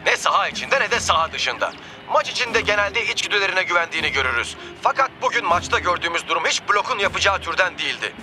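Amid clear outdoor noise, a cartoon sports reporter. He fires off brisk broadcast patter in a high voice, excitable and quick. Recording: noisy outdoor street-like background.

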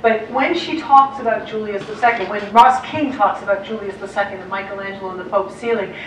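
An American woman art historian giving a lively illustrated lecture to clergy, with quick asides and acted-out quotes, heard from a distance in a large auditorium. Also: medium pitch. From United States. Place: large dim auditorium with projector screen. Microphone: distant room mic, speaker at lectern far from camera. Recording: echoey hall from far off, faint hiss.

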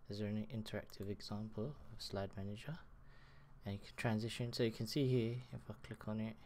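A man in his thirties giving a casual live software tutorial from his desk, speaking through a headset and thinking aloud as he works. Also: on the low side, little pitch movement, slight background noise. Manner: slow, halting, muttering while working. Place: small room at a computer desk.

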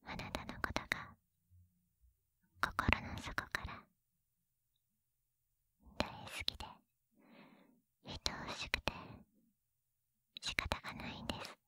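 A woman's voice: pushed and bright, dry recording. A woman playing a sweet, lovestruck young librarian in an ASMR roleplay, whispering breathily and tenderly, close to a binaural mic. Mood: adoring. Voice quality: breathy, mostly unvoiced whisper. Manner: tender, slow, intimate, polite. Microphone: close binaural microphone.

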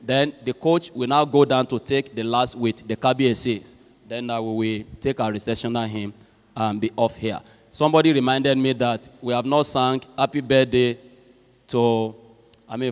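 A man's voice: mid-range voice, clean tone. Young Nigerian man making church announcements. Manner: hesitant, many filler pauses. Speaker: master of ceremonies. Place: large university chapel hall. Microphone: handheld mic at a lectern. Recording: long hall reverb with faint music.

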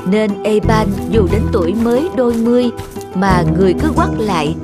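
A woman's voice: medium pitch, moderate pace. A woman reading a scripted fairy tale as its storyteller. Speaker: narrator. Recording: music under the voice.

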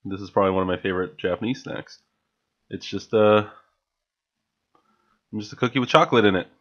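Young guy vlogging at home into a desk mic, lowish voice, chatty and excited, kinda muffled audio. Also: a little fast. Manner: chatty, enthusiastic, with frequent filler restarts. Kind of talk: casual grocery haul vlog. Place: small home room, indoors. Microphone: studio mic on a stand, wearing headphones.

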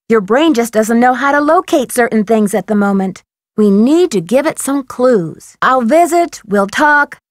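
A woman playing a friendly, earnest, inquisitive young female detective in an adventure video game, coaxing and questioning with clear diction. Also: sits high, no room sound, clean studio sound. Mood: patient, encouraging. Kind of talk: scripted adventure game dialogue.

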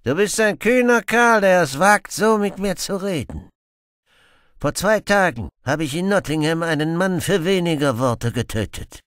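Menacing villain, raised, harsh delivery. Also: male voice, high-pitched, expressive, moderate pace, fairly bright tone, dry recording, studio quality, pitched above the performer's own voice. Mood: menacing contempt. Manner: gruff, harsh, curt. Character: menacing, grim male stranger, cruel villain in horsehide.

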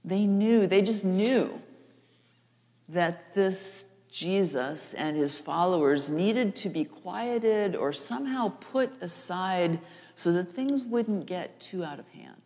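A woman in her sixties, a pastor preaching a storytelling sermon with deliberate, emphatic repetition. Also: on the low side, lively intonation, dark tone. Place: large church sanctuary, seated at front. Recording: echoey church hall, long reverb.

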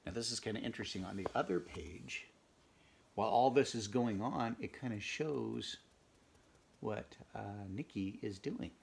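A middle-aged man reading a picture book aloud at home, expressive, pausing to chat about the pictures and dropping into whispers. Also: lowish voice, vocal fry, slight background noise. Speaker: read-aloud storyteller. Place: home room, indoors.

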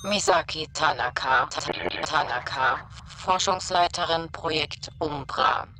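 A research leader acted by a woman dictating a log in a sci-fi radio play. The voice is sharp, clipped and clinical, on a noisy archive recording. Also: low-pitched, takes it slowly, full character voice.

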